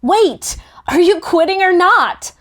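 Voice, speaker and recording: A woman's voice: very high voice, lively intonation, slow, full character voice. Wistful teenage girl narrator, voiced by a woman. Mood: exasperated. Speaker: table-read performer. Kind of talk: first-person audiobook narration with acted dialogue.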